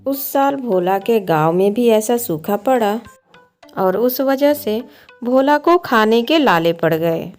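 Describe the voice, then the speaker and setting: A woman's voice: a little high, expressive, slow pace, darkish tone. A woman reading scripted storybook narration for a cartoon moral tale. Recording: music behind the voice. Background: background music.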